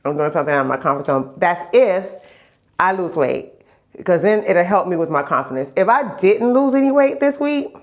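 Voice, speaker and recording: A woman's voice: on the low side, expressive, normal speed. A woman vlogger chatting casually in a candid, rambling video diary, stuffy from a lingering cold and repeating phrases for emphasis. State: stuffy, getting over a cold. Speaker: vlogger sharing health journey.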